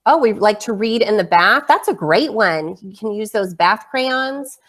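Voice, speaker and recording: A woman's voice: medium pitch, expressive, a little slow, fairly bright tone. A woman co-hosting a casual interactive webinar, relaying responses in an upbeat, appreciative manner. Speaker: co-host reading out chat responses.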